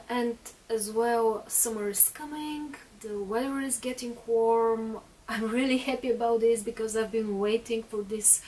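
A woman's voice: fairly high pitch, a little mellow, far from the mic, rough recording. A woman in her thirties, a native Bulgarian speaker, chatting through a makeup tutorial in a small bedroom with lots of fillers and restarts. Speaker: beauty vlogger.

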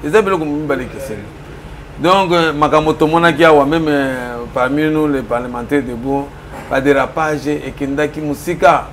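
A middle-aged Congolese-accented man lays out political arguments in an interview, switching between Lingala and French. His voice sits a bit high and his points land with emphasis. He speaks in a small office room over a faint hum of ambience. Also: normal speed. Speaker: political commentator. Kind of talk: studio interview.

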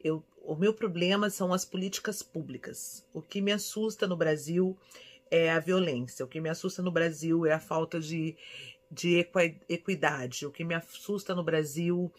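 Expressive Brazilian woman chatting affectionately. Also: low-pitched, normal speed. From São Paulo, Brazil. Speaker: vlogger. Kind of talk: casual chat answering viewer questions. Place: small indoor room at home.

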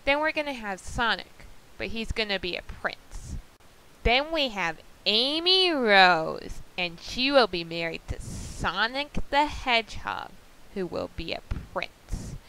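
A woman giving a casual video update as an amateur animator, halting and pausing in mid-sentence. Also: medium pitch, expressive, pushed and bright, slight background noise, poor audio. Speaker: amateur animator presenting a voice audition call.

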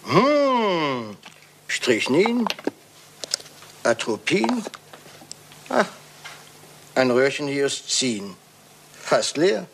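An actor playing a fastidious, shrewd Belgian detective in a German crime radio play, speaking precisely and probingly with French words sprinkled in. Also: male voice, on the high side, big pitch swings, gravelly, background noise, exaggerated voice. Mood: playfully inquisitive.

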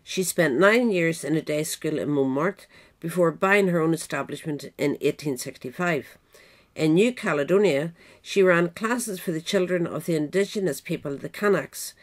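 A woman's voice: low-pitched, normal speed. A woman narrator reading a scripted biographical essay aloud. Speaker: narrator, reader.

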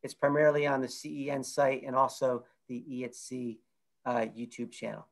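A man's voice: mid-range voice, fairly even intonation, moderate pace, creaky. A man in his fifties opens a webinar on a video call from home, with a loose delivery full of fillers. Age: 50s. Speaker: event moderator. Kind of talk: webinar introduction. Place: home room with shelves.

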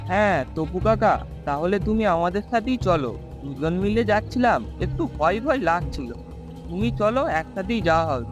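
A man's voice: high voice, expressive, normal speed, music in the background. A man voicing a brash, carefree young villager in a cartoon, teasing and dismissive. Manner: dismissive, breezy. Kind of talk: cartoon story voice acting.

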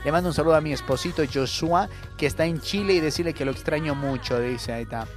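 A man's voice: on the high side, fast talker, faint music behind. A young man with a Bolivian accent, hosting a radio show with casual, joking, informal comic talk. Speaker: radio host and comedian. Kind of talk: casual comic radio monologue, reading listener messages.

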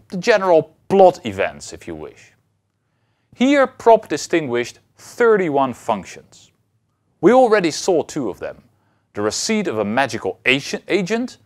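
Guy in his 30s doing a lecture to camera, pitch swings all over, leans on key words. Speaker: university philosophy lecturer.

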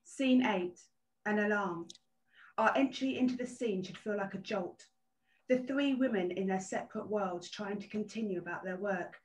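A woman's voice: mid-range voice, moderate pace. Roomy, distant woman reading stage directions. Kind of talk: scripted narration, play reading. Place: indoor room, video call. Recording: roomy, distant video-call audio.

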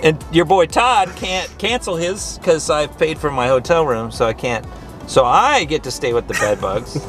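A man's voice: a little high, expressive, moderate pace, a bit bright, faint music behind. A middle-aged man chatting casually on a road trip vlog from inside a car, teasing his friend and laughing often. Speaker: vlogger. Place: inside a car, partly outdoors by a highway.

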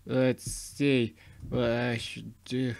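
A young man talks slowly over his screen recording, drawling through loose, joking gameplay commentary. He rambles and brags with mock pride at a relaxed pace, his voice sitting in a middle pitch. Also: creaky. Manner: rambling, mock-boastful, self-mocking. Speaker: YouTuber.

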